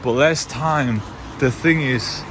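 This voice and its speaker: A man's voice: mid-range voice, takes it slowly, background noise. A young Swiss man with a Swiss German accent, rambling casually to camera in a car, full of fillers and restarts. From Switzerland. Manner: loose, unscripted, many fillers and restarts. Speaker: vlogger.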